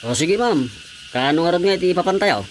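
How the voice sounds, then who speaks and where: An actor playing a polite household driver who is eager to take orders, in a radio drama. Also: male voice, sits high, expressive, brisk, slight background noise. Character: deferential male driver of a rich household. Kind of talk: Ilocano radio drama acting.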